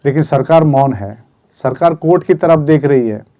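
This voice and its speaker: A man's voice: fairly high pitch, moderate pace, mellow, highs cut off. A man speaking as a movement spokesman at a press conference, earnestly setting out decisions one by one. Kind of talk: press statement.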